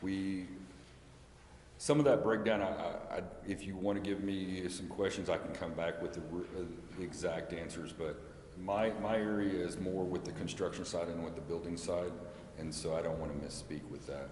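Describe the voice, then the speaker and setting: A man speaking haltingly as he answers questions at a public hearing. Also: low voice, steady tone, a little mellow. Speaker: project representative for construction and building. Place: indoor council chamber. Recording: echoey chamber, faint background noise.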